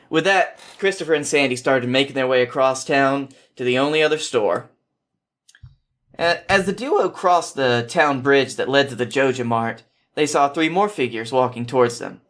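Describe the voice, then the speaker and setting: Male podcast storyteller, mid-pitched, informal narration with occasional small stumbles. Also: normal speed, a bit bright. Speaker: podcast host reading fan fiction.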